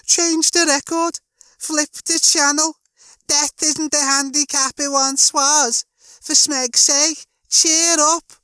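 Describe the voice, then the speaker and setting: A man's voice: high voice, sing-song, pushed and bright, no room sound, studio quality, pitched above the performer's own voice, heavily acted. A man voicing a smug, pompous, gloating hologram bunkmate in a theatrical, sneering way for a story reading. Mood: mocking, cheery. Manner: theatrical, sneering, mock-cheerful, drawn-out words.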